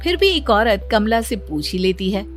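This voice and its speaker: A woman's voice: medium pitch, very animated, moderate pace. A woman storyteller reading scripted narration of an emotional family story. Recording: music behind the voice.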